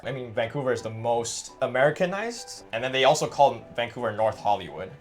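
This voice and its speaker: A young man from Taiwan, talking as an interview guest in a relaxed, chatty way full of "like" fillers. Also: medium pitch, brisk, soft background music. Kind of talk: casual interview chat.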